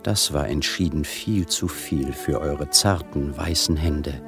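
Deep-voiced, suave gentleman swindler. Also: music in the background, full character voice. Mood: suave, soothing. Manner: courtly, measured. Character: suave, flattering gentleman swindler writing a letter. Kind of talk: radio crime play letter monologue.

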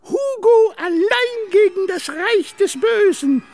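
An actor voicing a lovable, sulky little ghost with drawn-out speech in a German children's audio drama. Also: extremely high pitch, animated, a little mellow, exaggerated voice. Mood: sulky, solemn.

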